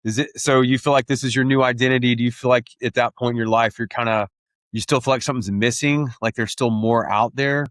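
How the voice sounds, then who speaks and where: A man hosting a podcast who asks relaxed, curious follow-up questions. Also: mid-range voice, quick pace, no room sound, studio quality. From Tennessee, USA. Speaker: podcast host. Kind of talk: conversational interviewing.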